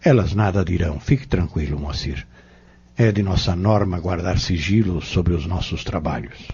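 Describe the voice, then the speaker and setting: A man with a Brazilian accent playing a calm, wise pharmacist mentor in an audio drama, explaining things formally and reassuringly. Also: low-pitched, moderate pace. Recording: muffled lo-fi audio.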